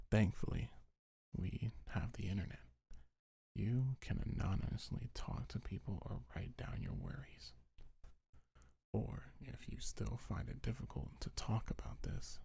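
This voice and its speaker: A man speaking in a deep, breathy, husky voice, half-whispering gentle, reassuring affirmations in a soothing ASMR style. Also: a little hiss or hum. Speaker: ASMR creator reading a comfort script.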